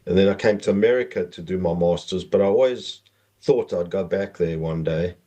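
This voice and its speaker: A middle-aged man with a South African accent, telling personal stories conversationally as a podcast guest in a halting, meandering way. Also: low-pitched, dark tone.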